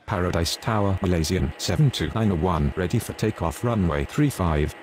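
A man plays an airport radio operator in a simulator game with a very deep voice. He is businesslike and clipped, delivering procedural calls over faint background noise. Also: normal speed, a little mellow, natural voice. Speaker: pilot and air traffic controller radio voice. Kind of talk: scripted aviation radio phraseology.

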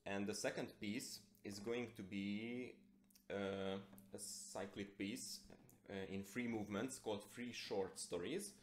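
Low-voiced young Hungarian man, echoey room. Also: steady tone, takes it slowly, a little hiss or hum. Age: young adult. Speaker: classical guitarist and composer. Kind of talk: spoken introduction to a concert. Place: plain indoor room.

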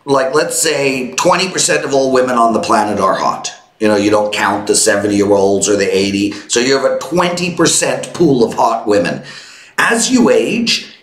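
A man in his fifties hosting a casual sports betting chat on a boom mic, joking and teasing his co-hosts. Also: a bit bright, roomy sound. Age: 50s. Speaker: host. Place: home studio. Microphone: headphones and boom mic.

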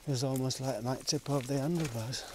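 A man's voice: medium pitch, quick pace. A middle-aged man chatting casually while cycling forest trails, rambling with self-talking asides, recorded outdoors on a small camera mounted on his bike frame. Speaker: cycling vlogger. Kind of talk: casual narration while riding. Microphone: small pocket camera mounted on the bike frame. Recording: noisy outdoor camera audio.

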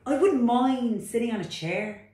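A woman's voice: a little high, lively intonation, unhurried, dark tone, voice pushed up. A woman voicing a reluctant, curious little picture-book frog with a pleading, questioning manner. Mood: hopeful pleading. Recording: roomy, distant microphone.

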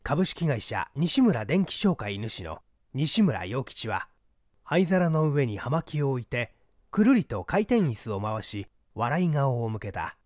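A man's voice: mid-range voice, sing-song, slow pace, no room sound, studio quality. A man reading mystery fiction aloud as a dramatic literary narration. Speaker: audiobook reader.

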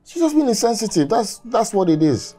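A man's voice: high-pitched, very animated, a little fast, warm tone, faint music behind. A man playing a cruel, hot-tempered young businessman and abusive husband in a film drama. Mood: defensive. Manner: contemptuous, repeats phrases mockingly, menacing.

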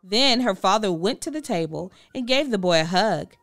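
A woman reading a children's book aloud with expressive, deliberate storytelling. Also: mid-range voice, no echo, faint music behind. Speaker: storybook reader. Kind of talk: children's read-aloud narration.

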